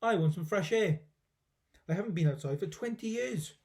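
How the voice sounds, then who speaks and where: A man voicing an ancient, bossy, exuberant grandmother in a storybook, yelling in a screechy voice. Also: sits high, animated, normal speed. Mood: triumphant. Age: older. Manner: yelling, exclamatory.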